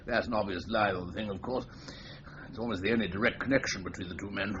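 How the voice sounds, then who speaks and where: An actor playing a senior British policeman in a radio crime drama, briefing a subordinate with brisk, dry authority. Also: lowish voice, steady tone, natural voice. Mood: brisk, exasperated. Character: brisk senior policeman, male superior briefing his inspector. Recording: muffled radio drama recording, faint noise.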